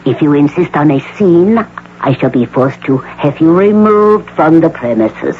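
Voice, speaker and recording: An actor playing a coldly courteous, unyielding doctor who directs a clinic, formal and superior in radio drama style. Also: male voice, fairly high pitch, animated, a little slow, phone-quality sound. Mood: stern. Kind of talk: 1980 radio drama acting. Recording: thin, hissy radio broadcast with hum.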